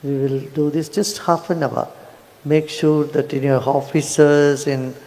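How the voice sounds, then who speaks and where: A man leading a guided meditation, then chatting with a crowd in a soft, slow manner with small "huh?" asks. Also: fairly high pitch, little pitch movement. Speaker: meditation teacher. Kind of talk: guided meditation and public address.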